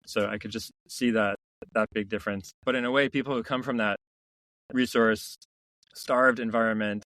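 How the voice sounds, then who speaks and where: A middle-aged man, a company founder, telling stories in a relaxed interview, reflective and full of restarts. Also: fairly low pitch, normal speed, no echo. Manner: reflective, many restarts and "you know" fillers. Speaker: founder and CEO, podcast guest. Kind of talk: casual interview storytelling.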